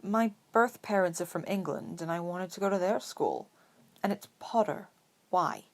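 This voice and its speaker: A woman's voice: lowish voice, expressive, normal speed. A woman voicing a casual, slightly prickly and modest eleven-year-old boy in a story reading. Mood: nettled. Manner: grumbling, guarded, plain-spoken.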